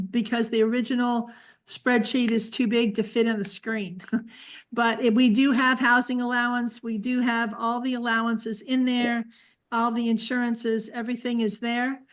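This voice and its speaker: A woman's voice: on the high side, level tone, a little slow. A woman presenting an informal briefing and answering questions as she goes.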